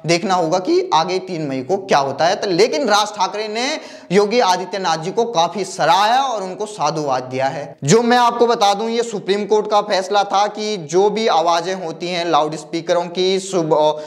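A man's voice: high-pitched, moderate pace, hardly any pauses. A young man presenting news commentary to camera in a studio on a lapel mic, reeling off lists of figures as he talks straight to the viewer. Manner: talks directly to the viewer, gesturing with a phone in hand; reels off long lists of figures. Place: dark studio backdrop, indoors.

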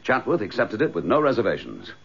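An actor playing a determined chief inspector in a radio drama, with a clipped, forthright English delivery. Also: male voice, on the low side, wildly swooping pitch, brisk, creaky, fairly bright tone, husky, heavily acted. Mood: resolute. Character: determined, dogged police chief inspector, recently suspended. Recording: dull, lo-fi radio drama recording.

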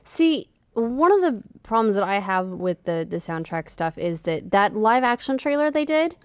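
A woman's voice: medium pitch, very animated, moderate pace, clear, resonant tone, no echo. A woman in her thirties chatting casually about games as a podcast co-host, close on a studio microphone. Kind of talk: casual gaming chat. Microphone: desk studio microphone, close.

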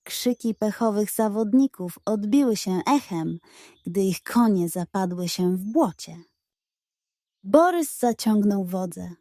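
A woman narrating a scripted children's bedtime story in an expressive read-aloud style. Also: mid-range voice, slow, dry recording, clean studio sound.

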